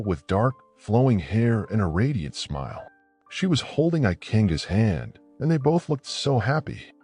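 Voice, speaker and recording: A man's voice: on the low side, a little mellow, husky. A man narrating a scripted folktale as a dramatic storyteller.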